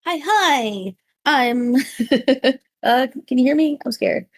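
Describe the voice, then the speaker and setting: A woman podcast guest chatting casually in a flustered, excitable, self-deprecating way. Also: high voice, slow pace. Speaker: podcast guest, voice actor and writer. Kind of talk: casual chat.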